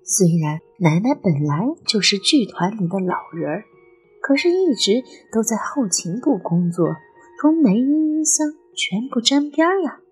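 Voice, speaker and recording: A woman narrating an audio drama in a measured, atmospheric storytelling manner. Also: on the high side, sing-song, darkish tone, husky, faint music behind, poor audio.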